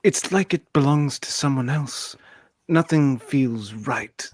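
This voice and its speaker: A man's voice: medium pitch, slow pace, a little mellow, dry recording, clean studio sound. A man playing a troubled, thoughtful female starship counselor in an amateur sci-fi radio play, speaking hesitantly. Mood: uneasy, tender.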